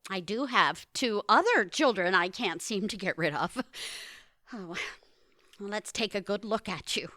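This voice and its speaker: A woman's voice: mid-range voice, animated, measured pace, bright, forceful tone, no echo, clean studio sound. A woman playing a fussy, disapproving middle-aged mother in an audio play, sarcastic and guilt-tripping as she nitpicks. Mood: disapproving, bossy. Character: fussy, disapproving mother of grown children, germ-obsessed. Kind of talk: audio theatre dialogue.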